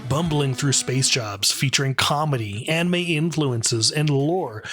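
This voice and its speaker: A man's voice: medium pitch, unhurried, fairly bright tone, soft background music. A man hosting a scripted video essay of commentary on animation.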